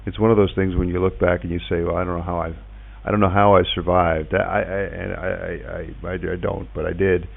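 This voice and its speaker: A middle-aged man chatting casually and reminiscing in a halting way, repeating words as he goes. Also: sits low, level tone, creaky. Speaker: podcast host and ham radio operator. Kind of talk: casual radio chat, reminiscing. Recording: tinny phone-like internet radio link.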